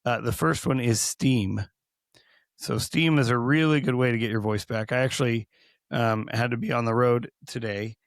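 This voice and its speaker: A man co-hosting a podcast, hoarse from recently losing his voice, chatting casually and rambling through many restarts. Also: mid-range voice, steady tone, moderate pace, no echo, clean studio sound. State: hoarse, recovering from lost voice. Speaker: podcast co-host.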